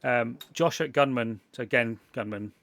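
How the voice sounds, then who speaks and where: A man from England hosts a relaxed chat, wandering through his points with restarts and chuckles. His voice carries a gravelly edge, recorded dry and close. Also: mid-range voice, moderate pace, no room sound. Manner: rambling, self-interrupting, laughs often. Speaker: podcast host. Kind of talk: casual chat.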